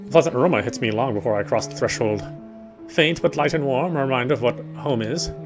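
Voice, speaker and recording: Man reading dramatically over loud music. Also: mid-range voice, fast. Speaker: let's player reading a visual novel aloud. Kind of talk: dramatic read-aloud narration of a horror game story.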